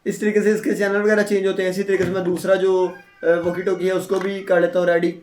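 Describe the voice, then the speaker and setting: A man's voice: high voice, level tone, fast, darkish tone, faint music behind. A man giving a casual, step-by-step product unboxing and demonstration, often checking in with small tag phrases as he explains. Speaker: product reviewer. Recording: echoey room.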